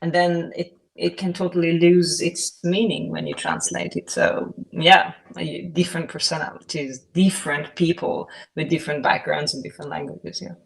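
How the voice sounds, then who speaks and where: A woman's voice: fairly low pitch, animated, moderate pace, vocal fry, few pauses. A woman whose first language is Persian, talking casually with many fillers on a discussion panel. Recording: distant microphone.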